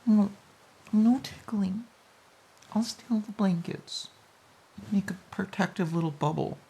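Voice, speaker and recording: Woman voicing a playful pouty girlfriend, romantic audio roleplay, mellow dark tone, breathy, slow, cooing, intimate. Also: mid-range voice, heavily acted, poor audio. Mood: playful protest. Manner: soft, giggly, hesitant, cooing. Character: playful, pouty, affectionate girlfriend snuggling in bed.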